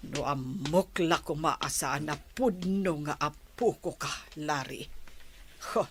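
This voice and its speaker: A woman's voice: sits low, slow pace, background noise. A woman playing a caring elderly grandmother in a radio drama, soothing and protective. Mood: stern. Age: older.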